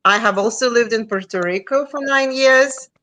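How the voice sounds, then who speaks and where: A woman, talking from home over a webcam. Her voice is bright and clear, and she speaks softly and matter-of-factly, with plenty of quiet mm-hmms. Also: fairly high pitch, moderate pace. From Russia. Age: 40s. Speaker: guest. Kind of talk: conversational interview. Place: home room, indoors. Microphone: video call webcam.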